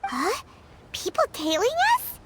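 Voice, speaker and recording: A woman voicing a tiny childlike fairy companion in a video game, squeaky, cheeky and excitable. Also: takes it slowly, noisy background, exaggerated voice.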